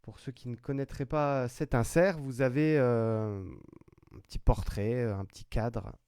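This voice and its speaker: A man hosts a card break in an unhurried, drawn-out delivery, calling out player names one after another between casual remarks. His voice sounds dry and close, with no room around it. He talks as he handles packs, chatty and loose. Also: medium pitch, steady tone, a little mellow, smooth, no echo. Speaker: trading card break host. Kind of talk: live commentary while opening card packs.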